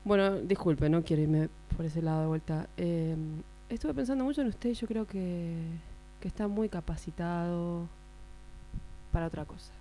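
A woman's voice: fairly low pitch, normal speed, warm tone, natural voice. A woman with an Argentine accent playing an insistent, patronizing supervisor who addresses her employee formally and repeats herself needlingly, in a radio drama. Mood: condescending. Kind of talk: radio drama workshop acting. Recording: amateur recording with mains hum.

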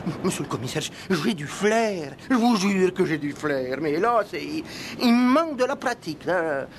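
An actor playing an eager, deferential village police sergeant who stammers and pleads, in a comic French-language radio play from the 1960s. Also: male voice, high voice, moderate pace. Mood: eager pleading. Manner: stammering, excitable, obsequious. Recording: noisy old radio recording.

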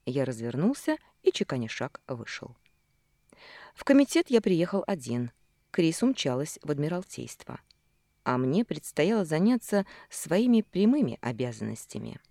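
A woman reading a first-person novel aloud as an audiobook narrator, in a measured, expressive storytelling way with dramatic pauses. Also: sits low, no echo, studio quality. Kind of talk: first-person fiction narration from a male hero's view.

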